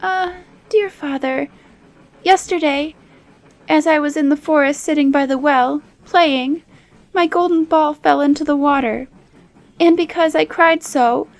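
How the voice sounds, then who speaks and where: Woman as a high, girlish spoiled storybook princess. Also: unhurried. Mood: anxious. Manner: high-pitched, girlish, emphatic. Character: young spoiled princess, fretful and eager. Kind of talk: bedtime fairy-tale reading.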